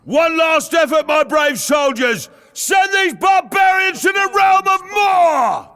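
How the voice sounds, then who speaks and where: A man's voice: extremely high pitch, animated, slow pace, sharp tone, no echo, raised voice, heavily acted. A man playing a dutiful, urgent soldier of a fantasy empire in a video game, proclaiming his lines. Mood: rallying. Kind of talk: heroic fantasy game acting. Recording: music behind the voice.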